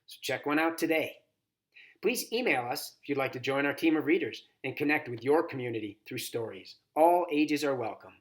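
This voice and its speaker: A man's voice: medium pitch, animated, moderate pace. A man reading a children's novel aloud expressively, as a volunteer story reader.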